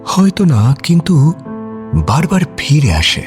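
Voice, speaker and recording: Expressive male story narrator over music. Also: a little high, measured pace. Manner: expressive, reflective, conversational. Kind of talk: scripted romantic story narration.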